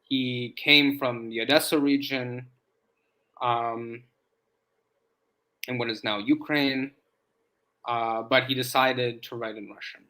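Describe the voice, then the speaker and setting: Bright-voiced man explaining at measured pace. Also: mid-range voice, fairly even intonation, rough recording. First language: English. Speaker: guest, academic in Slavic studies. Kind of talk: casual interview chat.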